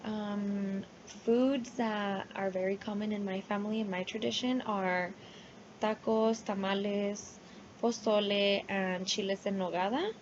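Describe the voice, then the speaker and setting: A woman's voice: mid-range voice, steady tone, measured pace, lo-fi sound, slight background noise. A young woman from New Mexico whose first language is Spanish, giving a casual self-introduction as a college student and often adding "like" and "um" as she talks. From New Mexico, United States. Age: young adult. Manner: chatty, many fillers and "like"s. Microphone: laptop webcam mic.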